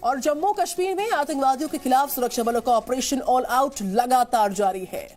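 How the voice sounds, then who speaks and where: A woman's voice: high-pitched, normal speed, darkish tone. An Indian woman presenting television news in a formal, emphatic manner. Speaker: news anchor. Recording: studio voice over news music.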